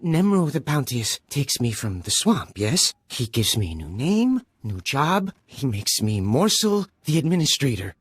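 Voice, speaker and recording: A small, fawning alien creature talks in eager, broken phrases with a husky rasp. The pitch swings about in a fretful, cartoonish game voice, recorded dry. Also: male voice, very animated, a little slow, hardly any pauses, no echo. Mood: fawning, aggrieved. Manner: clipped broken grammar, eager. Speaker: game voice actor. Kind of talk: video game character dialogue.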